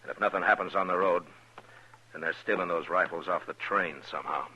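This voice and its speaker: An American actor playing a stern, watchful U.S. Marshal in a radio western, speaking in a terse, commanding, plainspoken way. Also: male voice, low-pitched, brisk, sharp tone, breathy edge, lo-fi sound, exaggerated voice. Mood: watchful, measured. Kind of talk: 1950s radio western acting. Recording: noisy, crackly old radio recording.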